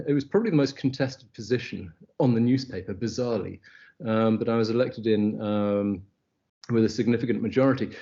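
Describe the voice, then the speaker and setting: A middle-aged Scottish man from the Highlands, a company head telling stories in a casual interview, wry, with many ums and restarts. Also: dark tone, highs cut off. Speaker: agency CEO, guest. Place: South Africa, remote call.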